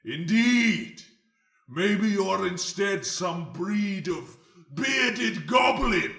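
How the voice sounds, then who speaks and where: A man voicing a savage, taunting fantasy warrior who declaims forcefully, in dramatic character acting. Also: sits high, animated, very slow, creaky, roomy sound, full character voice. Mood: scornful, taunting.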